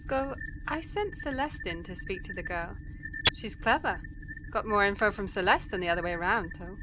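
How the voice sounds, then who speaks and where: A woman's voice: mid-range voice, wildly swooping pitch, moderate pace. A woman playing a loyal alien security officer in an audio drama, framing her sentences with clipped, odd tic words. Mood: dutiful, concerned. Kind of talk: science fiction audio drama acting. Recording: noticeable background noise.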